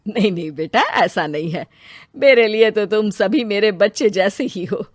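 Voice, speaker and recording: A woman's voice: medium pitch, normal speed, dry recording, clean studio sound, close to an everyday voice. A woman voicing a doting, middle-aged mother-in-law in a moral story, motherly and coaxing with a sly streak. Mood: reassuring. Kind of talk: animated moral story dialogue.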